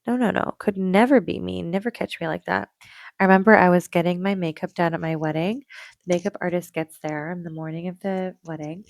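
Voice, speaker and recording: A young woman co-hosting a casual podcast, telling stories in a chatty way with quick bursts of excitement. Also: on the low side, vocal fry, no echo. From Canada. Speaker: podcast co-host. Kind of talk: casual chat.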